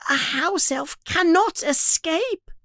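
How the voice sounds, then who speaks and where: A woman's voice: very high-pitched, lively intonation, takes it slowly, a bit bright, no echo, clean studio sound, voice pushed up, full character voice. A woman voicing a frightened, devoted male house elf servant in a storybook, pleading dramatically and speaking of himself in the third person. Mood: fearful, servile.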